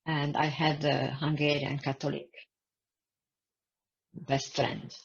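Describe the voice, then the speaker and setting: Low gravelly old lady from serbia, husky, dull lo-fi zoom audio, halting talk. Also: level tone. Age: 80s. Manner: slow, halting, non-native phrasing. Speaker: Holocaust survivor interviewee. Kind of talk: personal testimony. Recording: dull lo-fi video call audio.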